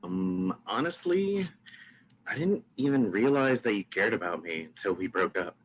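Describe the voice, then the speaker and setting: A man's voice: fairly low pitch, big pitch swings, normal speed, rough recording. A man reading the part of a woman, the hero's ex-girlfriend, in an amateur podcast table read, with a hesitant, stumbling delivery.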